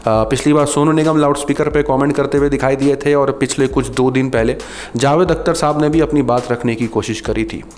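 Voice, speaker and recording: An Indian man speaks to viewers as a video host, explaining his subject in an earnest, measured way. His voice sits at a medium pitch. There is some noise around him in the recording. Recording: clearly noisy background ambience.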